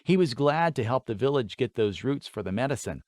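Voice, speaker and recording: A man's voice: mid-range voice, lively intonation, brisk, a little mellow, dry recording, studio quality. A man reading scripted fantasy fiction as a dramatic audiobook narrator.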